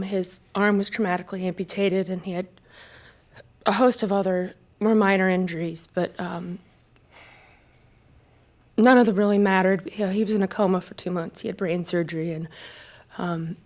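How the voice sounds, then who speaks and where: A woman, a military spouse, giving personal testimony at a public discussion in a halting way, with frequent hesitations and self-corrections. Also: mid-range voice, steady tone, a little mellow. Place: live event space at a panel discussion.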